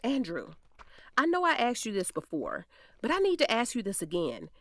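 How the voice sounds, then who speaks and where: A woman playing a sharp, protective, sassy defense lawyer who questions frankly and colloquially in an audio drama. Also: fairly high pitch, huge pitch swings, normal speed, no room sound, clean studio sound, full character voice. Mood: probing, serious.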